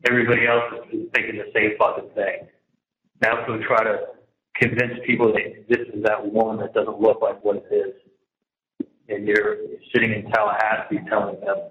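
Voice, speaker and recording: A man talking casually and at length on a jail phone call, emphatic and repetitive, often trailing off mid-sentence. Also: fairly low pitch. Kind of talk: casual, rambling private phone conversation. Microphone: telephone handset. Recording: muffled, noisy, echoey jail phone line.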